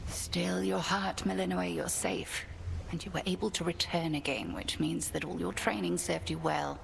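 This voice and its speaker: An actress playing a stern, wise witch mentor in a fantasy video game, with a formal, measured, grave manner. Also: on the low side, steady tone, vocal fry, a little hiss or hum. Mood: grave, reassuring.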